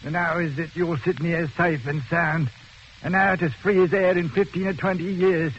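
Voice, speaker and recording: An actor playing a seedy little prisoner, colloquial and self-pitying, in a 1940s radio drama. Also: male voice, on the high side, fairly even intonation, normal speed, fairly bright tone, close to an everyday voice. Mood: nosy, needling. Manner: colloquial, wheedling, coughing between phrases. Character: sallow, coarse little prisoner, chatty and bitterly self-pitying. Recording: dull, hissy old radio recording.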